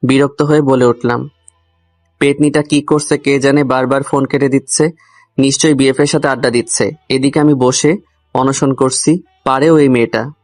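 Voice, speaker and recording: A man tells a comic first-person love story over soft background music. His delivery stays fairly contained in range, with a grumbling, confiding manner aimed straight at the listener. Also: mid-range voice, fairly even intonation, moderate pace. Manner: grumbling, addresses the listener directly. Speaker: narrator and lead character of a love story. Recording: music under the voice.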